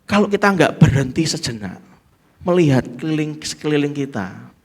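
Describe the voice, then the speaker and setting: A man with a Javanese accent preaches as pastor in a fairly high voice. He talks with emphasis, pressing his points and calling for amens. He slips local Javanese phrases into his sermon. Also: big pitch swings, normal speed, a little mellow, slightly rough. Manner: emphatic, calls for amen.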